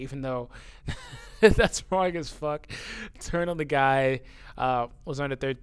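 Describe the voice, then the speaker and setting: A man's voice: medium pitch, clear, resonant tone. A man who says his voice sounds young, talking casually and bluntly as he reads out viewer comments, swearing often and getting heated. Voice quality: youthful-sounding voice. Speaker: YouTuber reading viewer comments. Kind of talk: casual talk to camera.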